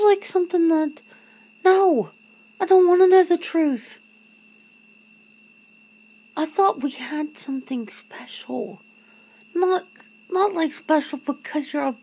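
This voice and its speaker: A woman playing a wary, wry human confronting a vampire lover in an ASMR roleplay, breathy and often slipping into a whisper, hesitant and casual. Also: very high voice, warm tone, exaggerated voice, poor audio. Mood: agitated, hurt. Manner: hesitant, sardonic, casual, sighing. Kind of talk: ASMR roleplay, half-whispered one-sided dialogue. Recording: noticeable background noise and ambience.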